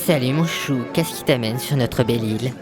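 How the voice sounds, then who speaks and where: A man playing a camp, flirtatious male islander with a soft, suggestive delivery. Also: mid-range voice, brisk, lightly acted. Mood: seductive. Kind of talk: amateur audio comedy adventure acting. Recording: voice under prominent music.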